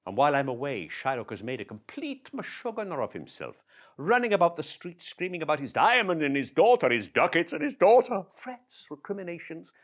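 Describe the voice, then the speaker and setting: A man's voice: on the high side, very animated, a little fast, a bit bright. A man playing a chatty, confiding friend who tells his story straight to the audience, with wry asides, in a one-man stage monologue.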